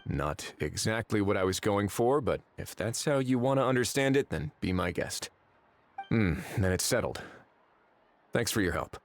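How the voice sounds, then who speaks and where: A man voicing a shrewd, easygoing, world-weary official in a video game, with dry, measured authority. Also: lowish voice, lively intonation, slight background noise. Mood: weary, relaxed. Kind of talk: animated video game voice acting.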